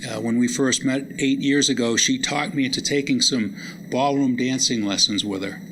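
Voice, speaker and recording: A man's voice: medium pitch, fairly even intonation, moderate pace. A middle-aged man giving a public talk, introducing and reciting poems at a live lecture. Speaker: college lecturer and poet presenting readings. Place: live lecture event before listeners. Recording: noisy live hall recording.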